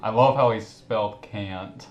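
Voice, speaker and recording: A man with a fairly low voice hosts a loose, casual commentary discussion. The recording is roomy and echoey, with some noise underneath. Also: a little slow, darkish tone, lo-fi sound. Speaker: host. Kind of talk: casual group commentary chat.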